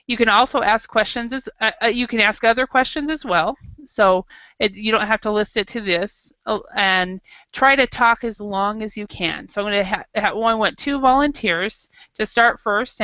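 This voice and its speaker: A woman's voice: mid-range voice, pushed and bright, clear, resonant tone, no echo, lo-fi sound. A woman teaching a small talk lesson to an adult class, thinking aloud with frequent restarts. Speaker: English teacher.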